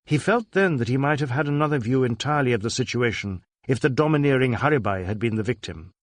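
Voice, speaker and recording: A man's voice: mid-range voice, lively intonation, quick pace, no room sound, clean studio sound. A man reading a crime novel aloud as scripted narration. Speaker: audiobook narrator.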